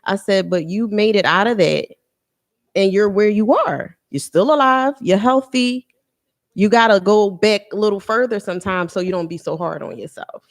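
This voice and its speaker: A woman's voice: medium pitch, moderate pace. A woman in her thirties, an interview guest talking candidly and reflectively about her life, often restarting her sentences. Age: 30s. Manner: earnest and reflective, with frequent restarts and repeats. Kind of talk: candid personal conversation.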